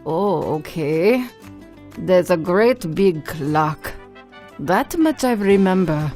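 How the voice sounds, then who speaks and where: A woman's voice: lowish voice, animated, slow, darkish tone, soft background music. A woman voicing a confused, polite older cartoon aunt with a hesitant, courteous manner. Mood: lost, polite.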